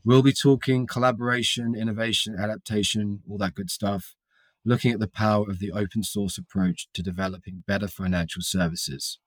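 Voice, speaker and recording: A man's voice: fairly low pitch, fairly even intonation, a little fast, no echo. A man hosting a podcast, reading polished introductions and putting questions to his guest. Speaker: podcast host, reporter.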